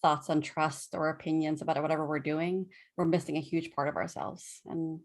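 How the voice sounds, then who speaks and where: Earnest low-voiced woman coach. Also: level tone, normal speed. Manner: earnest, conversational, many fillers and self-quotes. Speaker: podcast guest, self-trust coach. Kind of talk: casual podcast conversation.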